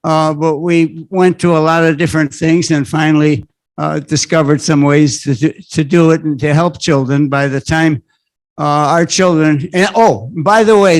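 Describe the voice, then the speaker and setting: Older guy, retired principal at a library panel, kinda high voice, halting, lots of restarts. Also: dry recording. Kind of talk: community panel talk. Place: library event room. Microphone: handheld microphone.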